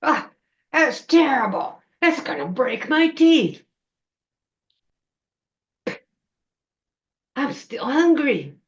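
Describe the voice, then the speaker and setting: Storybook troll witch, played by a woman: greedy, gloating, slow groaning delivery, animated pitch, slightly dull recording. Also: full character voice. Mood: hungry, complaining. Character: hungry female troll witch, gloating, gnarly monster.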